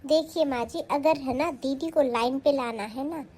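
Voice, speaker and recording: A woman's voice: sits high, moderate pace, a little mellow, natural voice. A woman acting a sly, newly married young daughter-in-law who coaxes and pleads in a family skit. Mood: plotting. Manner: coaxing, plotting, pleading.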